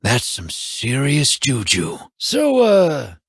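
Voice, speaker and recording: Streetwise veteran game character, husky and informal, drawling slowly with lively, wry swings in pitch. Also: male voice, no echo, studio quality, exaggerated voice. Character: gruff, wry older male mentor, streetwise survivor. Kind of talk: video game voice acting.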